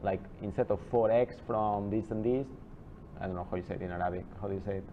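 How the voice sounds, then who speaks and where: Chatty low-voiced man lecturing a class. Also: slight background noise. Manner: chatty, digressive, with halting restarts. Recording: dull lo-fi classroom recording.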